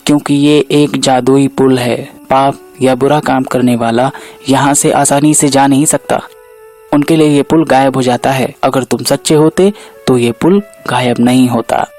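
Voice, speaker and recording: A man's voice: mid-range voice, steady tone, moderate pace, darkish tone, soft background music, lightly acted. A man acting village men in a cartoon moral tale. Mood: earnest.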